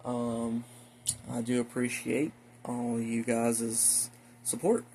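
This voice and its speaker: A man's voice: mid-range voice, completely flat delivery, takes it slowly, darkish tone, a little hiss or hum. An American man giving a casual show-and-tell vlog in a folksy, rambling way, muttering asides to himself as he goes. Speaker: hobbyist video host. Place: indoors in a room at home.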